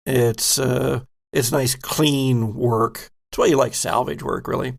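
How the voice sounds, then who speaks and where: A man narrating a tabletop game as game master, laid-back and wry, with pauses in his narration. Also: mid-range voice, steady tone, creaky.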